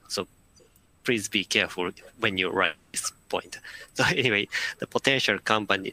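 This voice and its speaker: Bright, gravelly young man, nonnative speaker. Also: on the low side, poor audio. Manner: careful, halting, nonnative phrasing. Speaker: academic panelist. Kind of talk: video-call panel discussion. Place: home room. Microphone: video call.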